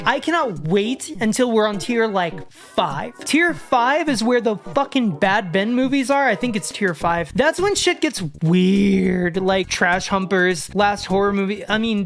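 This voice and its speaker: Hoarse woman riffing casually on films. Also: medium pitch. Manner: joking, digressive, swears freely. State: losing her voice. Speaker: horror video essayist.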